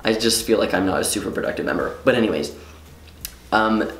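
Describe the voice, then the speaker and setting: A man's voice: lowish voice, brisk, reverberant. A young man from Quebec whose first language is French, chatting casually in a rambling, self-interrupting vlog with some swearing. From Quebec, Canada. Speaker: vlogger. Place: room at home.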